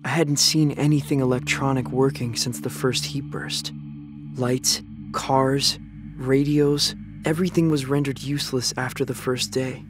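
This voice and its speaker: Guy acting a young apocalypse survivor telling his story, serious and measured, pretty flat, music underneath. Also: mid-range voice, close to an everyday voice. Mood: grave, reflective. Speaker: lead actor and narrator in a drama podcast. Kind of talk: scripted first-person dramatic narration.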